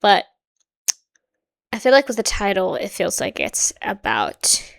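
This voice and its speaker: Soft-spoken woman musing aloud. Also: mid-range voice, huge pitch swings, a little slow, vocal fry. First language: Swedish. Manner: musing, hedging, soft-spoken with frequent quiet trailing asides. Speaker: reaction video host. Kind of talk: casual reaction commentary.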